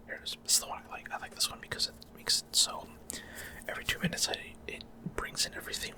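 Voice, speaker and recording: A man speaks in an unvoiced whisper, giving casual, halting ASMR commentary on gameplay and explaining as he goes, close to the microphone. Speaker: gaming video host.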